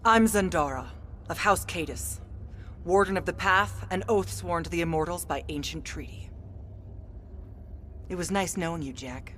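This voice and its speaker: A woman's voice: on the low side, normal speed, pauses often, slight background noise, natural voice. A woman playing a stern, contemptuous warden of a magical path in a fantasy video game, speaking formally and cuttingly. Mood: haughty, cold.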